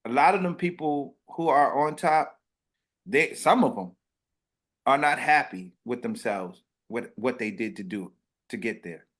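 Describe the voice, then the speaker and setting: A man in his late thirties hosting a casual interview, animated and preachy, dropping to a hush between loud bursts. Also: medium pitch, a little slow. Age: 30s. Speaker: podcast host.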